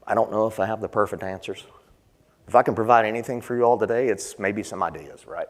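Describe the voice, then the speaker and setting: A man's voice: lowish voice, fast. A man leading a conference session, talking conversationally and putting questions to the audience. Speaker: session presenter. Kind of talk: conference panel talk. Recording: long hall reverb.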